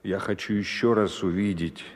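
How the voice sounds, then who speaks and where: An actor playing an anxious, loyal vizier turned into a stork in a fairy-tale audio play, deferential and plaintive. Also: male voice, deep voice, steady tone, moderate pace, natural voice. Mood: sorrowful, pleading. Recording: long hall reverb.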